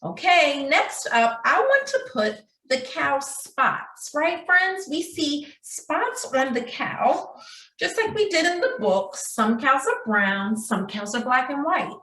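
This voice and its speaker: A woman's voice: high-pitched, very animated, takes it slowly, bright, forceful tone. A woman preschool teacher giving a craft demonstration for young children in a sing-song, checking-in manner, recorded in a room at some distance from the microphone. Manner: sing-song, addressing children as friends, frequent check-in questions. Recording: roomy echo, distant microphone.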